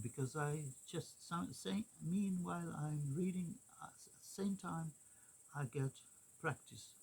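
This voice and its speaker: A man talks slowly, leaving long pauses between short phrases. His voice is gravelly and husky. He rambles casually, hunting for his words as he goes. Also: fairly high pitch, a little mellow, rough recording. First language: Swedish. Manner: halting, many pauses and fillers. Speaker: vlogger.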